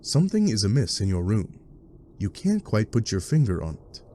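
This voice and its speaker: A man's voice: lowish voice, sing-song, normal speed, warm tone, a little hiss or hum. A man reading scripted second-person webcomic narration with wry, dry asides. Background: music.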